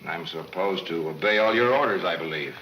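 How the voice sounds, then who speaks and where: A shrewd, tough detective from a 1940s crime serial. The voice is very deep with a bright, forceful edge. Delivery is terse and hard-boiled, steady under threat. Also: male voice, fairly quick, a little hiss or hum, poor audio. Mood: defiant. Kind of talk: 1940s film serial acting. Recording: old 1940s film soundtrack with music.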